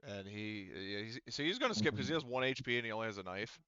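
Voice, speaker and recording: A man running a casual online tabletop roleplaying game as game master, thinking aloud haltingly as he works through the rules. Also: fairly low pitch, very animated, sharp tone, clean tone, no echo, dull audio.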